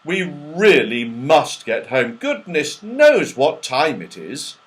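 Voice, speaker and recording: A man's voice: fairly high pitch, big pitch swings, takes it slowly. A man voicing an eager, sensible young boy in a children's story, decisive and upbeat.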